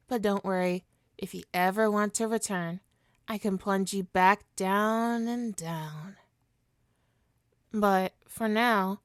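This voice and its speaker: A woman playing a suave supervillain hypnotist in an ASMR roleplay, coaxing and possessive, with a soothing, deliberate, mock-polite manner. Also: medium pitch, clear, resonant tone, no echo, studio quality, close to an everyday voice.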